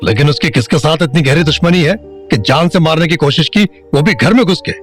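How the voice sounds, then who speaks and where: A man's voice: a little high, a little fast, faint music behind, close to an everyday voice. A man playing a weary, blunt, middle-aged small-town father in an audio drama. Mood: gruff.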